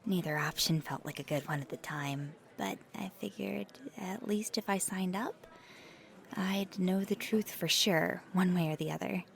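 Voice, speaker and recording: A woman playing a nervous, earnest paranormal investigator in an ASMR audio roleplay, speaking softly and haltingly with thoughtful, breathy hesitations. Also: fairly low pitch. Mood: quiet, reflective.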